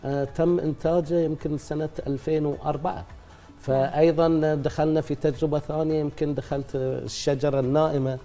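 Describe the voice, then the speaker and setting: A middle-aged man, an actor being interviewed, speaking reflectively at length with pauses. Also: fairly high pitch, flat delivery, dark tone, soft background music. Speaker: actor guest. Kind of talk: casual interview talk.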